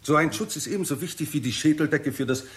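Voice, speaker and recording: An actor playing a confident scientist who lectures deliberately and emphatically in a 1960s German radio drama. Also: male voice, a little high, lightly acted. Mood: assured, emphatic. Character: male scientist, confident, explanatory, theorising.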